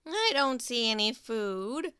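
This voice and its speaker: A woman's voice: fairly high pitch, big pitch swings, slow, sharp tone, smooth, full character voice. A woman voicing a sleepy, tired female goose who yawns and drawls her words. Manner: yawning, drawling.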